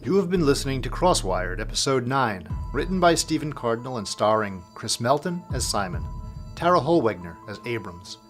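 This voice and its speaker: A man's voice: mid-range voice, big pitch swings, moderate pace. A man reading scripted closing credits as an announcer. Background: music.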